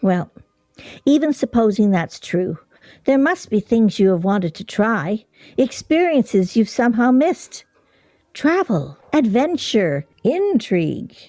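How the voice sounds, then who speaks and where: A woman's voice: fairly high pitch, very animated, slow, warm tone, no echo, heavily acted. An actress in a radio play playing an insistent, questioning woman nearing fifty who prods with emphatic delivery. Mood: probing, insistent.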